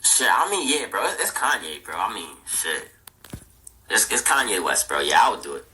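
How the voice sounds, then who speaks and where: A man's voice: fairly low pitch, sing-song, normal speed, sharp tone. A nineteen-year-old man, a rapper and producer, chatting casually as an interview guest, laid-back and full of slang. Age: young adult. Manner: laid-back slangy talk with frequent "bro".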